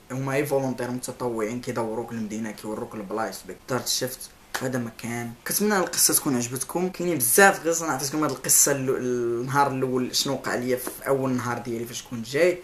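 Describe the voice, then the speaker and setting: A young Moroccan man recounts a story to his camera from his couch at a rapid clip. He tells it animatedly, with his hands always moving, over a faint hiss. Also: medium pitch. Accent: Moroccan Arabic. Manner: animated, gestures constantly, laughs while telling. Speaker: vlogger. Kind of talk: casual storytelling vlog. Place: indoor room, seated on a couch. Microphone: camera mic, close framing.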